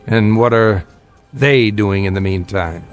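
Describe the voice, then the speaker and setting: An actor in a radio drama playing a weary middle-aged father, gentle but guarded and measured in his delivery. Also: on the low side, faint music behind, lightly acted. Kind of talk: naturalistic radio drama acting.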